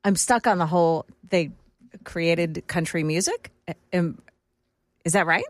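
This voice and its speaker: A woman's voice: lowish voice, measured pace, no echo. A woman chatting casually on a radio show, chiming in with short remarks. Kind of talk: casual chat, short interjections.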